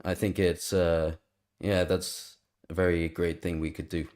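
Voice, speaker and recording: A man's voice: low voice, flat delivery, a little slow, darkish tone. A man co-hosting a live stream, chatting casually in a rambling way with many fillers. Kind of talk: casual chat. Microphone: video call.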